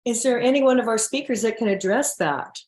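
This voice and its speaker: A woman's voice: fairly high pitch, fairly quick, a little mellow. A woman moderating an online webinar, courteous and orderly as she thanks speakers. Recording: roomy echo.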